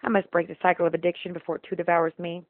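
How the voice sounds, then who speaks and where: A woman's voice: lowish voice, flat delivery, racing, no room sound, phone-quality sound. A woman performing spoken-word slam poetry in an emotional, rhythmic, rhyming delivery. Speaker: slam poet.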